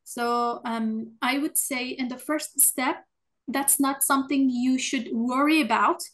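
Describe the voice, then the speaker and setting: A woman's voice: sits high, fairly even intonation, measured pace, a bit bright. A woman speaking as an alumna on a webinar panel over a video call, answering earnestly with frequent hesitations. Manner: earnest, hesitant, many uh fillers.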